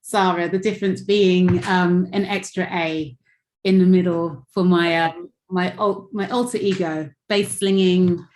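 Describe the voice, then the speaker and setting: A woman's voice: on the low side, steady tone, measured pace, darkish tone, roomy sound, slight background noise. A woman musician on a call, chatting about her music with many fillers and little laughs. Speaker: musician guest. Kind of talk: casual chat on a call. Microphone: video call.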